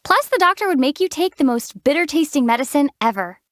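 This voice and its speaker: A woman voicing a calm young female traveler hero who teases in a deadpan way, in studio game voice acting. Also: high voice, brisk, no room sound. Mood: dry. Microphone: studio mic.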